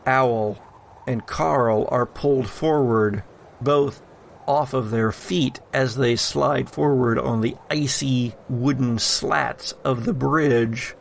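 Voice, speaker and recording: A man narrating a tabletop horror game as game master, in deliberate phrases with pauses between them. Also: mid-range voice, gravelly, slight background noise.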